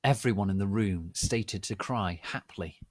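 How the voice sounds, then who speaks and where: Slow, low-voiced man reading dramatically. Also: dry recording. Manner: deliberate, theatrical, reads misspellings exactly as written. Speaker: narrator, fan fiction reader. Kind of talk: dramatic reading of fan fiction.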